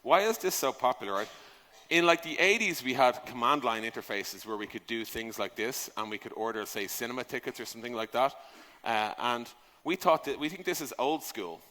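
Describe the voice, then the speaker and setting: Man, conference speaker, forceful bright tone, emphatic, informal, long hall reverb. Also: medium pitch, brisk. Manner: emphatic, conversational, rhetorical questions, casual swearing. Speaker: conference speaker on software product design. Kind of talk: conference talk, informal presentation. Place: large conference hall, on stage.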